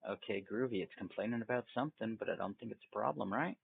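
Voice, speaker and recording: A man giving a casual step-by-step software tutorial, folksy and thinking aloud as he goes. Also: on the low side, fast, vocal fry, a little hiss or hum. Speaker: tutorial host. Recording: tinny, phone-like narrow band.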